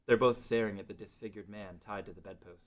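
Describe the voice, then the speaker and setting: A man's voice: on the low side, fairly even intonation, fast talker. A man narrating a scripted fantasy story as part of a table read.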